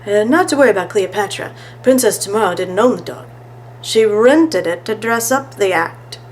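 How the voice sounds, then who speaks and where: A woman's voice: mid-range voice, expressive, normal speed, slight background noise, close to an everyday voice. Woman reading as an eager, puzzled boy detective. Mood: flustered, eager.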